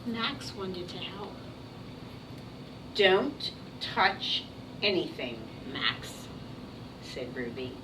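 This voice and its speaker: A woman's voice: fairly low pitch, very animated, very slow, pauses often. A woman teacher reading a picture book aloud to young children in a classroom, encouraging and instructive as she talks to them. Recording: distant mic, very noisy classroom.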